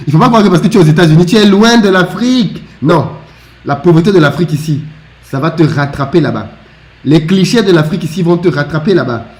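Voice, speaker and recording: A man's voice: a little high, animated, fairly quick, darkish tone. A man co-hosting a discussion, agreeable and reflective, with a light laugh now and then. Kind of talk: conversational discussion.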